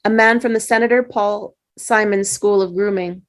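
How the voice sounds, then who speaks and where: Role: narrator. Sex: woman. Style: reading screenplay directions. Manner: steady, plain. Pitch: medium. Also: moderate pace. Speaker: stage-direction reader.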